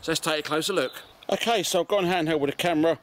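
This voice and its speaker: A man's voice: a little high, quick pace. A man in his forties with an English accent casually demonstrating gear as a video host. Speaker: amateur radio video host. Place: outdoors in a garden and on a street. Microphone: camera mic, arm's length or further. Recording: outdoor camera audio with background noise.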